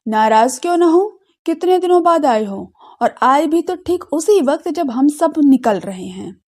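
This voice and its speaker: An affectionate middle-aged aunt in an audio drama, played by the woman narrator. She teases and scolds in a familiar way, with a soft, slightly high voice. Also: lively intonation, a little slow. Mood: mock offended.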